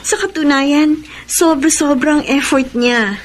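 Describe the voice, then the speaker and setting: An actress with a Filipino accent playing an anguished lovelorn young woman in a radio drama, speaking slowly and sorrowfully. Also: sits high. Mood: despairing. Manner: slow, sorrowful, emotive delivery. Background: background music.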